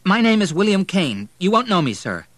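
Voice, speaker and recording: A man's voice: medium pitch, huge pitch swings, normal speed, no echo, voice pushed up. A man voicing an earnest, anxious teenage son in an audiobook, urgent and respectful. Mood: polite, worried. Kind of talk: audiobook character voice.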